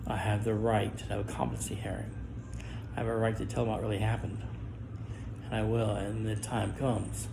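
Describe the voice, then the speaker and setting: A middle-aged man with a low, gravelly voice speaks a guarded monologue to camera. He talks haltingly, restarting words and pausing often. The recording is noisy, with constant background hiss. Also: flat delivery. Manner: guarded and halting, with stammered restarts and long pauses. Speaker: vlogger.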